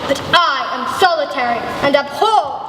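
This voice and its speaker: Young woman as anguished lonely monster, high-voiced, echoing. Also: lively intonation, moderate pace. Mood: anguished, bitter. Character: anguished, lonely monster lamenting his cursed creation. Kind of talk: dramatic literary reading. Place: large reverberant church. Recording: echoey church hall, distant mic, noisy.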